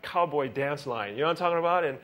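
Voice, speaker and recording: A man's voice: fairly high pitch, lively intonation, fast, a bit bright. A male pastor giving a casual, rambling sermon, joking about himself and laughing often. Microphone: handheld or stand mic with feedback.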